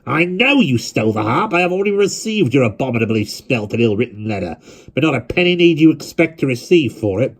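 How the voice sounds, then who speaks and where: A man's voice: mid-range voice, big pitch swings, a little fast, breathy edge, pitched above the performer's own voice. A man voicing a stern, proud old museum keeper who speaks in a cold, clipped, formal way. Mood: contemptuous. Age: older.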